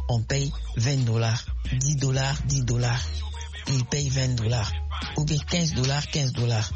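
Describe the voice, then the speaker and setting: A man's voice: mid-range voice, lo-fi sound. Impassioned young Congolese man on radio. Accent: Congolese French. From Congo (DRC). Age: young adult. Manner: oratorical, repetitive, rhetorical questions. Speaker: radio call-in contributor. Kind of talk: impassioned opinion on radio call-in.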